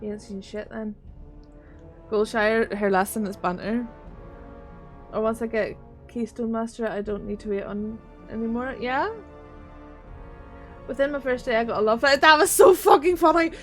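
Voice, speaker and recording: A woman's voice: fairly high pitch, normal speed, long pauses, soft background music. A woman with a rural Northern Irish accent chatting on a gaming livestream, swearing freely and laughing often as she reads chat. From Northern Ireland. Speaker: streamer.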